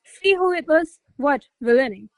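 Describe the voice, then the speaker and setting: A woman's voice: sits high, animated, normal speed, no room sound. A woman playing an imperious, hot-tempered noblewoman scolding her servants in a theatrical radio play. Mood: angry, impatient. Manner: haughty, commanding, theatrical exclamations. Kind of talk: radio play acting of a classic comedy.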